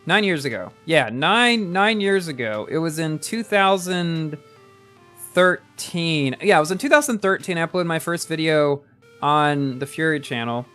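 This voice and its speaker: Chatty young man, higher voice. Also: lively intonation, unhurried, a bit bright, soft background music. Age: early 20s. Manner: rambling and chatty, often repeating words as he restarts. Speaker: gaming livestreamer reading chat. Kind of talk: casual live-stream chat.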